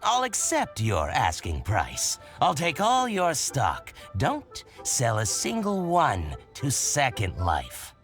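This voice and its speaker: A man voicing a shrewd, excitable merchant in a video game, haggling and blustering. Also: mid-range voice, soft background music, full character voice. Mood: bargaining. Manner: haggling, flustered, quick to bluster. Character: fussy middle-aged male boutique merchant, shrewd and excitable. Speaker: shopkeeper.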